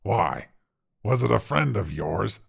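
A very gravelly, husky monster of a dinosaur, huge and carnivorous. It speaks low, with pompous, learned diction and smug self-assurance, as a character in a storybook reading. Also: male voice, big pitch swings, slow, heavily acted. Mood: haughty. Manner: pompous, learned, formal phrasing. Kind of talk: character voice in narrated short fiction.

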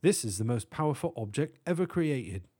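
A man's voice: medium pitch, big pitch swings, normal speed, dark tone, husky. A man voicing a small, frail middle-aged British professor in a storybook, fussy, prim and sly. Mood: proud.

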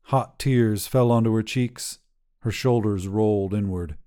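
A man reads literary fiction aloud as a narrator. His voice is mellow and soft-edged, and he takes his time, shaping each phrase with measured storytelling drama. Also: lowish voice. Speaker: narrator reading short fiction.

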